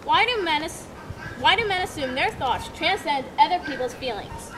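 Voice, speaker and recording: A woman's voice: sits high, lively intonation, moderate pace. A woman reading the part of a spirited, blunt young working woman in an amateur dramatic reading. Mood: defiant. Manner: blunt, defiant. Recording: outdoor traffic noise behind. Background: traffic noise.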